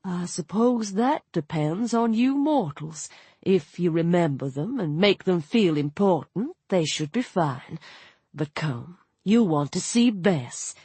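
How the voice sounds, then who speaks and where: Woman playing a hippo goddess nurse, motherly, doting, lively lilt, storybook. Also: on the low side, slow, breathy edge, no echo, voice pushed down, exaggerated voice. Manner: gushing, doting.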